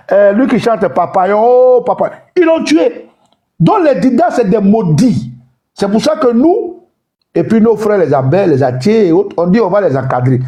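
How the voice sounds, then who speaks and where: Man ranting in a debate room, high voice, swings up and down a lot, kinda mellow tone. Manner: emphatic, repetitive, lecturing. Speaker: participant in an online debate room. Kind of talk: heated political talk.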